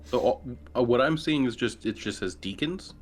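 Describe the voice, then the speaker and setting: A man's voice: mid-range voice, animated, normal speed, a little hiss or hum. A man playing a tabletop game, chatting casually in informal, sweary language. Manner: profane, informal. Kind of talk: casual chat.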